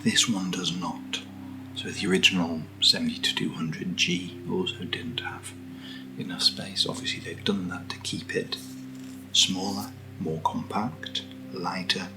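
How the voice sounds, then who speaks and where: A British man speaking in a soft, breathy near-whisper as he hosts a casual ASMR video, gentle and unhurried, close to the microphone. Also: sits low, fairly even intonation, music in the background, rough recording. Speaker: ASMR video host reviewing camera gear. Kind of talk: soft-spoken ASMR, casual unboxing chat.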